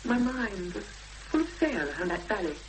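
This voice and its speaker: A woman playing an anxious but determined young woman in a 1970s radio drama, speaking hesitantly with thoughtful pauses. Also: medium pitch, sing-song, natural voice. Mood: troubled, recounting. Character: anxious, determined young woman seeking her missing sister. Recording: noisy, hissy 1970s radio broadcast recording.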